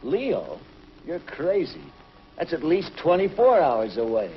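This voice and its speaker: A steady hero pilot. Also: male voice, on the high side, unhurried, full character voice. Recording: muffled old TV soundtrack with faint hiss.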